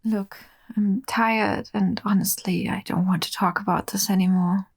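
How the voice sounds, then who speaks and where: A woman's voice: medium pitch, fairly even intonation, a little slow, a little mellow, slightly rough. A woman playing an obsessive, possessive and volatile lover with sweet menace in an audio horror drama. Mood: angry, accusing.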